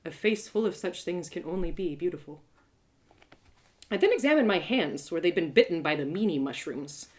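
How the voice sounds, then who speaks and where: A woman storyteller reads first-person fiction with a very expressive, varied pitch. Her phrasing is deliberate and theatrical, broken by dramatic pauses. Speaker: storyteller, host of live readings.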